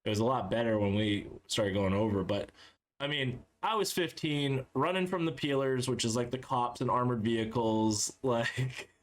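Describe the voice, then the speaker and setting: A man from Cape Cod, Massachusetts, with a mid-range voice, hosting a relaxed podcast conversation. He laughs easily and drops swear words offhandedly while telling stories. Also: mid-range voice, moderate pace. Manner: laughs often, swears casually. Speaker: podcast host. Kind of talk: casual podcast chat.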